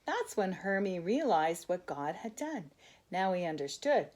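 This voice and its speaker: An older woman reads a children's picture book aloud in a gentle, expressive way, with asides to a young listener. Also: lowish voice, unhurried. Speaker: grandmother storyteller.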